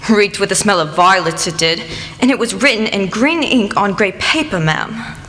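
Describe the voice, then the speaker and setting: A woman's voice: mid-range voice, expressive, moderate pace, a bit bright, close to an everyday voice. A woman acting in an amateur radio play as a talkative rustic postman, using dialect turns and emphatic repetition. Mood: chatty, kindly. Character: folksy rustic village postman, talkative and gossipy. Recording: echoey hall with faint noise.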